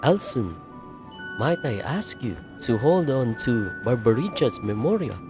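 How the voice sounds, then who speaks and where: A man playing a solemn, courteous adventurer in a video game, speaking formally and deliberately. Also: medium pitch, very animated, music in the background. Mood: grave, measured. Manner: formal, deliberate, archaic politeness.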